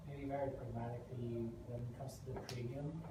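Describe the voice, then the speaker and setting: Woman audience member, very low pitch, tentative, distant and echoey with hiss. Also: steady tone, brisk. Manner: tentative, restarts her sentences. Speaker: audience member asking a question. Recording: echoey room, distant mic, background hiss.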